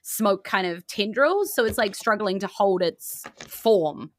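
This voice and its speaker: A woman's voice: mid-range voice, expressive, takes it slowly, a little hiss or hum. A woman improvising narration as the game master of a tabletop roleplaying game, in an informal way.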